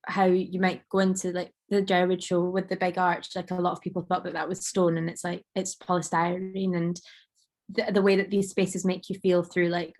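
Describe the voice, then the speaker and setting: A woman artist talking casually about her work, rambling with many fillers and a slightly croaky voice. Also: on the low side, completely flat delivery, moderate pace, a little mellow. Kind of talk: casual conversation.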